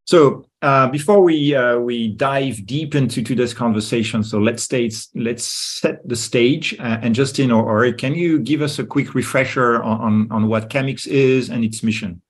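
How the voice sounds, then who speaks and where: Husky-voiced male podcast host. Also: mid-range voice, moderate pace, hardly any pauses. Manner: stumbling, repeats words. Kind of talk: podcast interview hosting.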